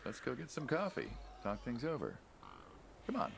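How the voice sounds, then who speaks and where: An actor playing an aging American mob man in a video game, folksy and casual but quietly menacing, probing an old friend. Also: mid-range voice, wildly swooping pitch, normal speed, very noisy. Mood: persuasive. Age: older. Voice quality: gravelly, husky. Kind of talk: naturalistic video game dialogue.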